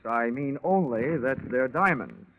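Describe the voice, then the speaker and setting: A man's voice: medium pitch, a little slow, close to an everyday voice. Old tinny radio show w music, guy acting a wisecracking american detective, dry and tough. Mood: sardonic. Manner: sardonic, deadpan quips. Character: wry, wisecracking tough male private investigator. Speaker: radio drama lead actor. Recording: band-limited 1940s radio recording with music.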